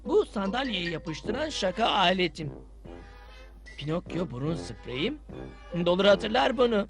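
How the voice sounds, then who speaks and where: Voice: medium pitch, sing-song, measured pace, creaky. An actor playing a mischievous, cowardly boy prankster in a cartoon dub, with a taunting and whiny manner. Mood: sly, boastful. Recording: music behind the voice.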